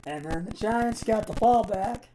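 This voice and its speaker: Raspy, halting man recapping sports. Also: high voice, noisy background. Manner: halting, hesitant delivery with frequent stumbles. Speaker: sports show host. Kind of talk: amateur football game recap.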